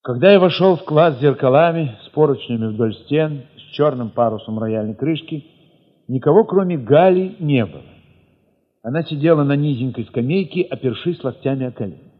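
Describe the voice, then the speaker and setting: A husky-voiced man narrates a literary audio drama with reflective, expressive phrasing and deliberate dramatic pauses. The recording is dull, with a long reverb trailing his words. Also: mid-range voice. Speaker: narrator of an audio play, reading in the first person. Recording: muffled, long reverberant hall sound.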